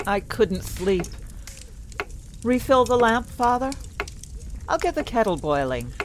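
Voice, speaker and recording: Woman acting a high, grieving, obsessive mother. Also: very animated, slow, a little hiss or hum. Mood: weary, subdued. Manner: dramatic, insistent, emotional. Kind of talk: audio drama horror acting.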